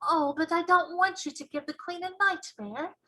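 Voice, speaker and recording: A woman's voice: very high voice, moderate pace, sharp tone, pitched above the performer's own voice. A woman voicing an anxious, tender-hearted little girl in a storybook, with a plaintive, exclaiming manner. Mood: alarmed. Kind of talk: storybook read-aloud character voice.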